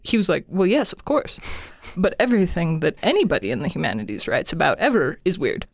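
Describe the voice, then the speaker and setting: A woman's voice: fairly low pitch, big pitch swings, a little fast, creaky, dry recording, studio quality. A woman in her twenties co-hosting a podcast in casual chat, enthusiastic and emphatic. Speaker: podcast co-host.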